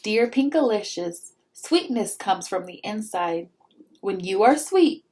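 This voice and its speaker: A woman voicing a kindly, wise tooth fairy from a storybook, giving advice in a measured, gently instructive way. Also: medium pitch.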